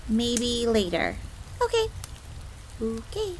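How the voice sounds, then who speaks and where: A woman's voice: fairly high pitch, wildly swooping pitch, slow pace, slight background noise, full character voice. A woman voicing a jealous, easily flustered young fox maid in a roleplay audio drama, speaking formally and referring to herself in the third person, with rain behind her. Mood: awkward, flustered. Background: rain on a surface.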